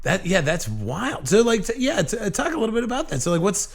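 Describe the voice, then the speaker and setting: Halting man hosting a casual podcast. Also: high-pitched, big pitch swings, gravelly. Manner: halting, restarts often, swears casually. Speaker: podcast host.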